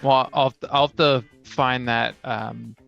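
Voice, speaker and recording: Gravelly, even-toned man on a dull mic. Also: mid-range voice, takes it slowly. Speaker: co-host. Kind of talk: casual podcast chat about tabletop gaming. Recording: dull recording with music behind.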